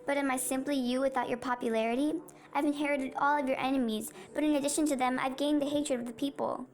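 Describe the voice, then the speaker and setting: A woman's voice: high voice, fairly even intonation, fast talker, lightly acted. A woman playing a beleaguered, anxious male president in an amateur history puppet show. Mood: dejected, self-pitying. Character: beleaguered male president Martin Van Buren, anxious and defensive.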